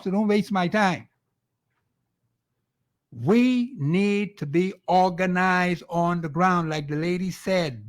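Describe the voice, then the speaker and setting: A man with a Bahamian accent hosting a call-in radio talk show, exhorting listeners and taking calls. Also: fairly high pitch. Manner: fiery, exhorting, repeats phone number slowly.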